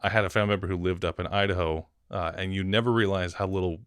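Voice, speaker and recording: A man's voice: deep voice, fairly even intonation, fast, fairly bright tone, clear, resonant tone, dry recording, clean studio sound. A man co-hosting a podcast, chatting in an informal, reflective way as he interviews. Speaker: podcast co-host. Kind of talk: casual interview chat.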